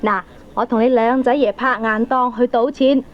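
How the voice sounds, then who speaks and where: A cheeky young good-for-nothing son acted by a woman with a very high voice. He is pushy, shameless and slangy in Hong Kong Cantonese. It is comic radio drama on a dull old cassette. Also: steady tone, moderate pace, close to an everyday voice. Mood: brazen, teasing. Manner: brazen, slangy wheedling. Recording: dull 1980s audio cassette recording.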